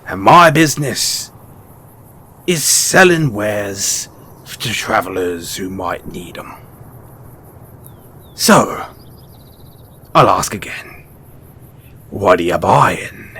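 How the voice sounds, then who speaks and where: A man playing a shrewd, mysterious post-apocalyptic merchant in an audio roleplay, speaking in an insinuating, theatrical way with drawn-out pauses. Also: fairly low pitch, animated, bright, forceful tone, breathy edge, exaggerated voice. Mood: wily salesmanship. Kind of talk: audio roleplay character acting addressed to the listener.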